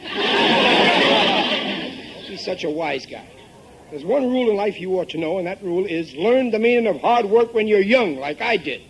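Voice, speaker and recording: A man's voice: high voice, lively intonation, moderate pace, long pauses, slightly rough, highs cut off, exaggerated voice. An actor playing a middle-aged, working-class American father, blustering, exasperated and tightfisted, in a 1950s radio sitcom. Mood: grumbling, blustering. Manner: blustering, indignant, folksy grammar.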